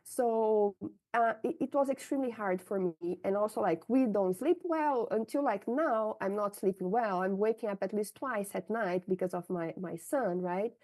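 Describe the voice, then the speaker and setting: A woman's voice: medium pitch, normal speed, a little mellow. A woman from Brazil with a Brazilian Portuguese accent, whose first language is Portuguese, answering interview questions about her scientific career frankly and often adding "right?" to her sentences. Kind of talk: candid conversational interview answers.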